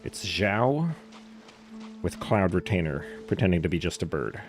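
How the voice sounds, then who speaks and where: A man's voice: low-pitched, animated, unhurried. A man doing casual live game commentary, wry and joking as he thinks aloud. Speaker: live streamer playing a game. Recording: game music behind. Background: game music.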